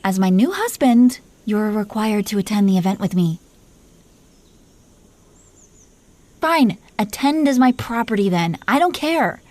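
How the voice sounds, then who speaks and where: A woman's voice: medium pitch, expressive, moderate pace, darkish tone, no echo, close to an everyday voice. A woman playing a possessive, teasing dragon queen in an intimate roleplay audio, commanding yet doting toward her husband. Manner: playful, commanding, mock-sweet.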